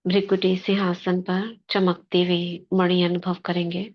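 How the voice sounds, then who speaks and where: Slow, even-voiced woman guiding meditation. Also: lowish voice, dull audio. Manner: measured, devotional, in short phrases with pauses. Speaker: meditation leader. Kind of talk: guided spiritual meditation.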